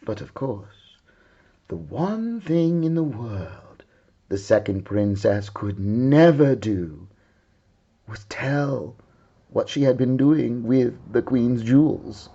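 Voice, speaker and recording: A man reading a children's story aloud in a dramatic, deliberate, theatrical way. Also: mid-range voice, lively intonation, dark tone, husky, muffled recording. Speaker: storyteller, art teacher.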